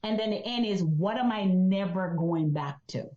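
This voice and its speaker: A woman's voice: medium pitch, normal speed, echoey room, dull audio. A woman from Jamaica with a Jamaican accent, a business coach explaining her method as a guest on a podcast. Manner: energetic, explains step by step. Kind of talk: podcast interview.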